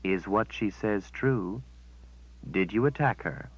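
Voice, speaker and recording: A man voicing a smug, menacing witch woman who speaks in a cold, measured way. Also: on the low side, husky, no room sound, exaggerated voice. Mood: stern. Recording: dull, dry sound.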